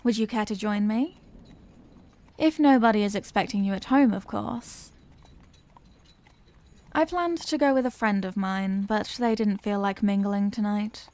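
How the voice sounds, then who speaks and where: A woman's voice: mid-range voice, a little mellow, no echo, close to an everyday voice. A woman playing a refined, courteous Victorian vampire lady in an audio roleplay, speaking in formal, old-fashioned phrases. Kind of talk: ASMR audio roleplay, scripted character acting.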